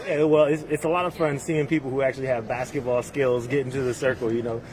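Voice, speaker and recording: Brief: male basketball entertainer, mid pitch, quick casual interview answers. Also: fairly even intonation, darkish tone, faint music behind, poor audio.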